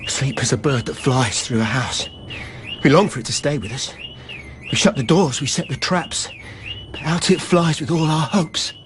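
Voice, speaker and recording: An actor playing a wistful graduate who lives in a van, earnestly persuading himself in lyrical radio drama verse. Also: male voice, a little high, moderate pace, background noise, lightly acted. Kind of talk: poetic radio drama monologue.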